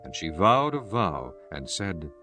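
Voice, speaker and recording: Low-voiced male scripture narrator. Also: very animated, pushed and bright. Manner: solemn, measured storytelling. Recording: music bed behind the voice.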